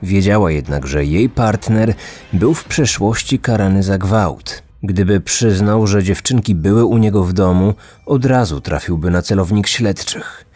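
A man narrating a scripted true crime story as podcast host, in a measured, serious manner. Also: sits low, a little mellow. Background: background music.

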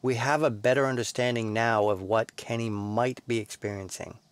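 A calm, earnest male researcher, acted by a man in an audio drama. He explains in a middle-pitched voice, recorded very dry. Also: moderate pace, no echo, lightly acted. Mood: earnest, concerned.

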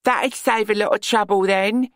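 Guy doing a naive dreamy young girl type for an audiobook, way up high. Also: steady tone, moderate pace, a bit bright, no room sound, clean studio sound.

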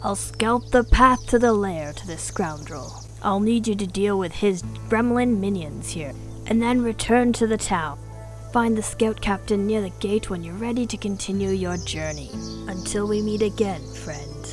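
A woman's voice: medium pitch, moderate pace, soft background music, lightly acted. Woman voicing an old wizard guide, solemn and commanding. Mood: earnest, friendly. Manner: solemn, formal, storybook phrasing.

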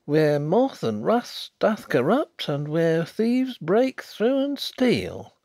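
A man's voice: a little high, wildly swooping pitch, takes it slowly, a little mellow, no room sound, clean studio sound, voice pushed up, heavily acted. A man voicing a complacent, pompous middle-aged private inquiry agent in a story reading.

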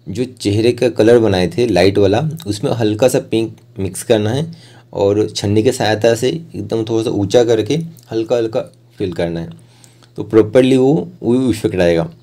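A man with a low, very mellow voice talks through an art tutorial step by step. His delivery is plain and informal, like an instructor explaining each stage of the work.

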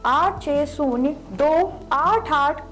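A woman reading a scripted advertisement voice-over in a deliberate, announcing manner. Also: sits high, fairly bright tone. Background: faint music.

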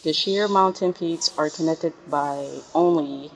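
Low-voiced, slow-reading young woman gamer. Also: slight background noise, poor audio. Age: young adult. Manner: halting reading, self-correcting. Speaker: gaming reaction streamer. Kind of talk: reading game text aloud with casual asides.

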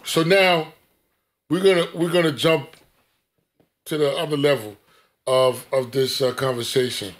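A man hosting a podcast in a small studio, interviewing calmly on a studio mic. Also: medium pitch, unhurried, pauses often. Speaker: podcast host. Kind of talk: casual interview. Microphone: studio mic on boom.

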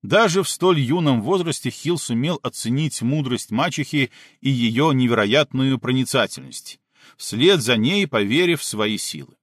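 A man reading an audiobook as a scripted narration, measured and clearly articulated. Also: mid-range voice, expressive, fairly bright tone, dry recording, clean studio sound.

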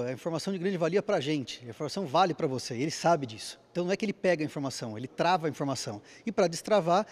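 A man in his forties answers an interviewer on a handheld mic inside a large event hall. His voice sits fairly high and he talks fast. He explains steadily, often tagging his points with a quick "né?". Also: darkish tone. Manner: explanatory, rapid, repeats "né?". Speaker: IT security company speaker.

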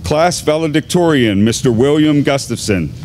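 Measured middle-aged man addressing a graduation. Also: fairly high pitch, lively intonation, noisy background. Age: 50s. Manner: measured, deliberate announcing with pauses. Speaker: assistant high school principal. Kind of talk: formal ceremony address. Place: large gymnasium at a graduation. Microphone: podium microphone.

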